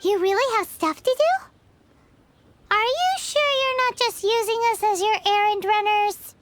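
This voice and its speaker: A woman's voice: very high-pitched, unhurried, faint music behind. A woman voicing a tiny, bratty floating female companion who whines and talks about herself by name. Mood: wary. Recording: noisy game audio.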